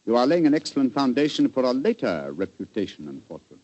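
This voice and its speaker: An actor playing a commanding English gentleman detective, precise and wry, in 1940s radio style. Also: medium pitch, big pitch swings, quick pace, dry recording, lo-fi sound. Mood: incisive.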